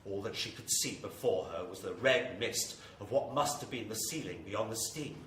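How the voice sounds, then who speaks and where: An ominous horror storyteller, played by a man, with a bright, forceful voice. He narrates theatrically and suspensefully in an echoey live space, a little away from the mic. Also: lowish voice, normal speed, close to an everyday voice. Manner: theatrical, suspenseful, building to intensity. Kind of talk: scripted dramatic horror narration. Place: live performance venue with audience. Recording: echoey live hall, distant mic, faint noise.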